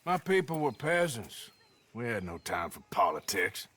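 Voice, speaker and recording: An actor playing a surly, cynical Western outlaw in a video game, curt and dismissive. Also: male voice, on the low side, very animated, normal speed, fairly bright tone. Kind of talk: video game cutscene acting.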